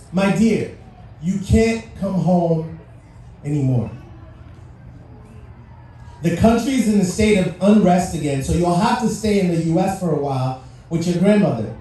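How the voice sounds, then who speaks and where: A man's voice: high-pitched, warm tone. A middle-aged man from Haiti, a native French speaker, giving a motivational speech to live listeners with questions and playful asides. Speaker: guest speaker at a civic event. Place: large echoey venue with live audience. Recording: echoey venue, distant mic, faint noise.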